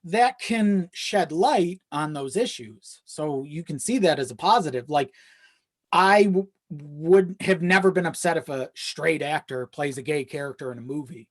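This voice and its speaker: High-voiced man chatting casually, thinking aloud. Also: unhurried. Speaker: podcast guest, audio drama creator. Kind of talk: casual reflective podcast chat.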